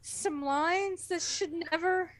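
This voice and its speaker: A woman with a high voice trades casual comedic banter as a co-host. She sounds sarcastic and put-upon, with an exasperated edge to her remarks. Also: slow pace. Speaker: podcast co-host and voice reader.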